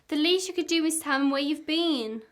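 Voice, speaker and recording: A woman's voice: high-pitched, moderate pace, fairly bright tone. A woman acting in an amateur radio play as an aggrieved, fed-up wife, accusing and indignant. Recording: clearly noisy amateur recording.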